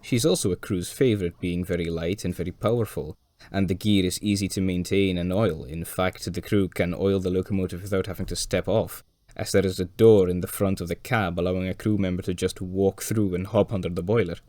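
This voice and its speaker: Hoarse male narrator, deep-pitched, for a dry-witted documentary voice-over. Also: fairly even intonation, fairly quick, a little mellow, no room sound. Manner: dry, wry asides. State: hoarse from a cold. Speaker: railway video presenter.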